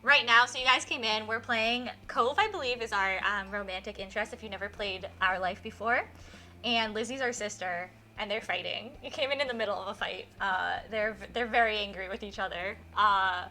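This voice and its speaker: A woman hosting a live game stream with casual, effusive, excitable chat. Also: medium pitch, a little hiss or hum. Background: music.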